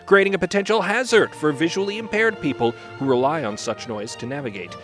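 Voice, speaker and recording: A man reading scripted narration in a measured, formal announcer manner. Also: a little high, over music.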